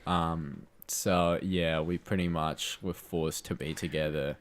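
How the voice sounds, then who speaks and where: Young man from chile, co-host chatting casually, low voice, mock-grand jokes. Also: steady tone, slow. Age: young adult. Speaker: podcast co-host. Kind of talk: casual chat.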